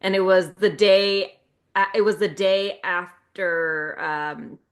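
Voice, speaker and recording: A woman's voice: mid-range voice, steady tone, slow pace. A middle-aged woman chatting casually as a podcast host, retelling things in a wry, self-mocking way with quoted dialogue.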